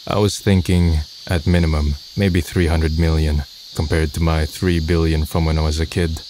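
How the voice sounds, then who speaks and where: A man's voice: very deep voice, level tone, moderate pace, noisy background. A man playing a gloomy, self-deprecating twenty-year-old who narrates his own story in a subdued, resigned way. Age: young adult. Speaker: narrator and protagonist. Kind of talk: scripted first-person narration. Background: background music.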